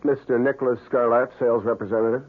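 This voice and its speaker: A man's voice: medium pitch, fairly even intonation, quick pace, lightly acted. A man playing an earnest, stubbornly curious ordinary man in an old radio mystery drama. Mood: puzzled, insistent. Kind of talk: 1970s radio drama acting. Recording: lo-fi, muffled old radio recording.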